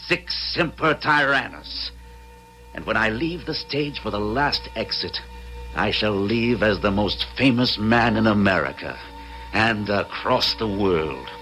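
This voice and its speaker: Low-voiced, bright-edged male villain, grandiose 19th-century plotter, old radio drama with faint music. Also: low-quality recording. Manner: grandiose, theatrical. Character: scheming, arrogant 19th-century actor turned assassin plotter.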